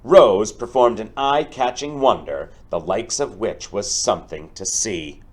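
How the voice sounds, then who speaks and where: A man teaching through a storybook read-aloud, speaking deliberately and explaining things directly to his pupils. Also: lowish voice, a little mellow.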